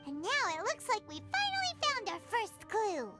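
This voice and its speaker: A woman voicing a tiny, childlike girl fairy sidekick in a video game, chirpy, naive and excitable, with a squeaky voice. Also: normal speed, exaggerated voice. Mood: curious. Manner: refers to self in third person, exclamatory. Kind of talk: video game character dialogue. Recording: game audio with prominent music. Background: loud game music.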